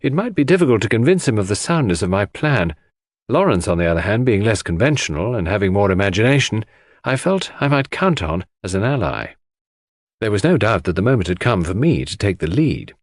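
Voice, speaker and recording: A man's voice: on the low side, sing-song, a little fast, no echo, clean studio sound. A man narrating a first-person mystery audiobook in a dramatic storytelling manner.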